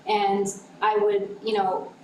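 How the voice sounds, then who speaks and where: A woman's voice: mid-range voice, monotone, slow pace. A woman from Canada in the audience, commenting at a symposium, thinking aloud with many ums. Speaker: audience member. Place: university symposium room. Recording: echoey room with clear noise.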